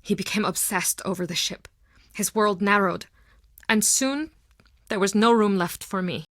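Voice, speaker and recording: A woman's voice: mid-range voice, unhurried, a bit bright, no echo, studio quality, natural voice. An actress playing a guarded, heartbroken townswoman with an earnest, emotional delivery in a mystery video game. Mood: wistful, subdued. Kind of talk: dramatic video game voice acting.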